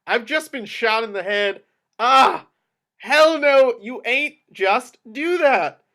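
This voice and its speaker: A man's voice: very high-pitched, lively intonation, takes it slowly, sharp tone, pitched above the performer's own voice, full character voice. Man playing a brash, foul-mouthed swaggering henchman. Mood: shocked, indignant. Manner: slangy, profane, emphatic.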